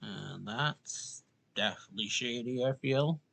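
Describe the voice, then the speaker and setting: Bright, raspy male gaming streamer. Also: medium pitch, unhurried. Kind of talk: casual let's play commentary, reading choices aloud.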